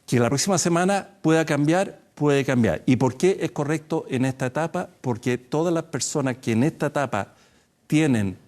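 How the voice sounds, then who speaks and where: A man, a doctor guest in a studio TV interview, explaining in a measured way with some hesitations. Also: on the high side, a little mellow. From Chile.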